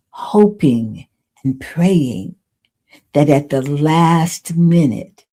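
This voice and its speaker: A woman in her eighties speaks as a podcast host sharing personal testimony. Her voice sits a little low and has a dark, relaxed tone. She goes extremely slowly, breaking her thoughts into short phrases with dramatic pauses. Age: 80s. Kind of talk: personal testimony storytelling to listeners.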